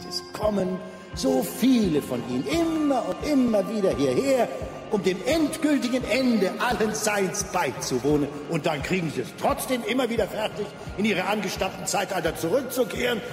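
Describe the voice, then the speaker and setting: An actor playing a grandiose, oily cabaret showman who effusively hosts the end of the universe in a radio play. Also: sits high. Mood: gushing sentimental. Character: gushing, theatrical showman compering the end of the universe. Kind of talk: bombastic cabaret master-of-ceremonies patter. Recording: echoey hall reverb, music and audience behind.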